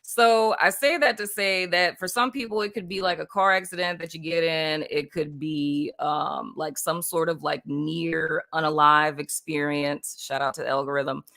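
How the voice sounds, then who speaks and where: A woman's voice: low-pitched, fairly even intonation, normal speed, gravelly, few pauses, sharp tone. A woman from Puerto Rico hosting a casual spiritual live stream, rambling loosely with lots of "like" and "you know what I mean" and frequent laughter. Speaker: livestream host.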